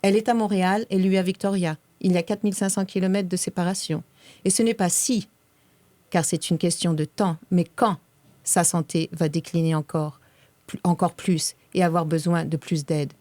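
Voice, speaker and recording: Mellow, measured woman reading her essay. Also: fairly low pitch, steady tone, no echo. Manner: measured and reflective. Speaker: podcast co-host. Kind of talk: reading a prepared personal essay.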